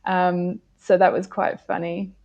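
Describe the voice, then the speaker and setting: Australian-accented woman, low voice. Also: level tone, a little slow, gravelly, a little mellow, highs cut off. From South Africa. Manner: reflective, many fillers and hedges. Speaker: guest. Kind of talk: casual interview answers.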